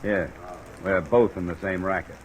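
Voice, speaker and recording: An actor playing a wry, hardboiled insurance investigator, dry and wisecracking, in an old-time radio drama. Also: male voice, sits low, normal speed, lightly acted, low-quality recording. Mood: dry, curt. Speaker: radio drama lead and narrator. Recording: old radio recording, steady hiss.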